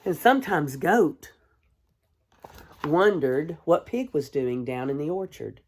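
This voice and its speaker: Woman, low pitch, unhurried pace, gentle expressive read-aloud for young children. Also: slight background noise. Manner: gentle, hushed, expressive storytelling with deliberate pauses; addresses young listeners with simple questions. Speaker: kindergarten teacher reading aloud.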